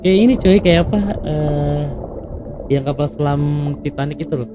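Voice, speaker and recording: A man's voice: on the high side, a little fast. A man giving casual, slangy, sweary gameplay commentary. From Indonesia. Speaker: gaming streamer. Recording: loud game music behind the voice.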